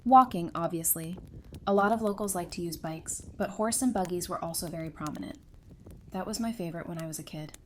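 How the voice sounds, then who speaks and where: A woman's voice: lowish voice, brisk, darkish tone, lightly acted. A woman playing an affectionate, teasing young wife in an audio drama, talking in a casual, conversational way. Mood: casual, explanatory. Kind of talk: fan audio drama acting. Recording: clear background noise and ambience.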